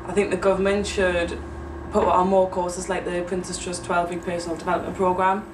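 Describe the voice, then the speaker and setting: A young woman, plain and matter-of-fact, her voice a little low. The room is echoey, and she sits away from the mic with clear noise around her. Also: little pitch movement, brisk, recorded from a distance. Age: 20s. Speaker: interviewee. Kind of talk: casual interview answers.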